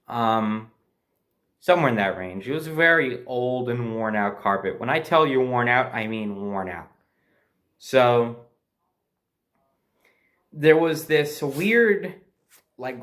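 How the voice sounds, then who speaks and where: A man's voice: mid-range voice, fairly bright tone. A man telling a personal story in a casual, unscripted way, hesitating and correcting himself as he recalls details.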